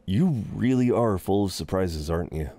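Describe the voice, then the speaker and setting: A man playing an amused, seductive incubus in an intimate roleplay audio drama, teasing and soothing in an unhurried, halting way. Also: low-pitched, animated, dark tone. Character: amused, reassuring, seductive male incubus innkeeper.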